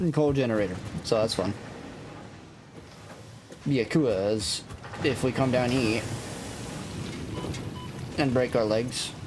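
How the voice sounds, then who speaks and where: A man's voice: medium pitch, darkish tone. A man with a soothing voice chatting casually and thinking aloud as he plays a game on a live stream, in a laid-back, rambling way. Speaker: live streamer playing a factory-building game. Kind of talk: casual live-stream chat and gameplay commentary. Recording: clear background noise behind the voice.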